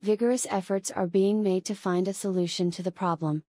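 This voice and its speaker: A woman's voice: medium pitch, steady tone, brisk, mellow, dry recording, clean studio sound. A woman reading scripted practice sentences for an English speaking test. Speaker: test prompt reader.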